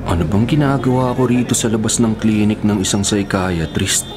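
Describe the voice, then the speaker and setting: A man's voice: fairly low pitch, steady tone, normal speed, loud music behind the voice, natural voice. An actor playing an earnest, troubled young male student in a Filipino radio horror drama. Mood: troubled, flustered. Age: young adult.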